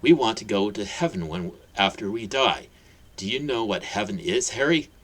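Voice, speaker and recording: A man voicing a big, friendly bearded man who speaks brightly and emphatically. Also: lowish voice, sing-song, normal speed, breathy edge, full character voice. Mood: earnest.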